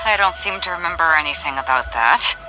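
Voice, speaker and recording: Game role: easygoing, teasing female captain, very animated delivery over clear background music. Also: deep voice, a little fast, low-quality recording. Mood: teasing, evasive. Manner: breezy, teasing, theatrical. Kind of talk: voiced video game dialogue.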